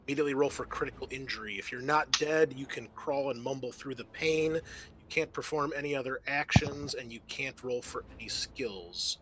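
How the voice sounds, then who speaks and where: A man's voice: mid-range voice, moderate pace, noisy background. A man acting as game master, explaining rules and narrating a tabletop roleplaying game in a matter-of-fact, conversational way. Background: background music.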